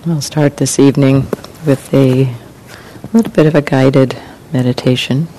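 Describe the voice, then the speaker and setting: A woman meditation teacher leading a guided meditation in calm, gentle, unhurried phrases with long pauses. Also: poor audio. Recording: clear rumbling background noise.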